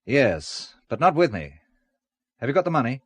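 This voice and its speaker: An actor playing an alert, determined spy, brisk and wary, with a tough edge, in a radio thriller. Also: male voice, mid-range voice, very animated, breathy edge, dry recording. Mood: wary, urgent. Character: alert, determined male spy, turning tough under pressure. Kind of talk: radio spy drama acting.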